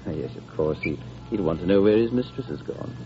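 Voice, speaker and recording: An actor playing a tender, grieving guardian in an old radio drama, gentle, earnest and theatrical. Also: male voice, low voice, animated, fairly quick. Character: tender, grieving guardian promising a fairy-tale journey. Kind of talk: 1940s radio drama acting. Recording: muffled vintage radio recording, music behind.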